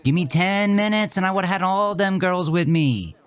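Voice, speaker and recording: A man's voice: high voice, moderate pace, clean tone, no room sound, pitched above the performer's own voice, close to an everyday voice. A man doing Clark, a slangy, boastful buddy.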